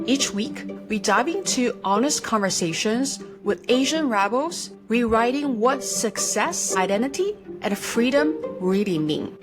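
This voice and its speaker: A woman from China hosting an interview podcast, moving between scripted introduction and conversational questions. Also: a little high. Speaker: podcast host.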